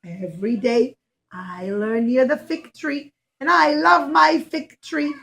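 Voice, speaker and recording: A woman voicing an excited, caring young girl in a puppet show, speaking earnestly to small children. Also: sits high, slow pace, gravelly, exaggerated voice.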